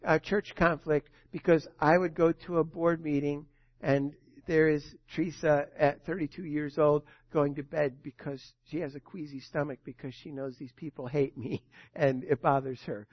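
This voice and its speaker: A man in his sixties preaches a sermon in a voice set a little high. He tells his stories in big dramatic swells and then drops to a hush. The sound is dull and very dry. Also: steady tone, normal speed, no room sound. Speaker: pastor.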